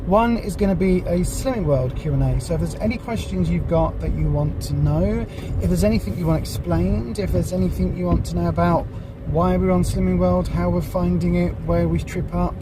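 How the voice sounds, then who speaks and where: A vlogger with a croaky voice from a cold, chatting in a rambling way with lots of ums from inside a car. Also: mid-range voice, fairly quick, few pauses, distant mic, over music. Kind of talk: casual chat. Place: in a parked car. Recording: noisy car interior. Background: street and traffic noise.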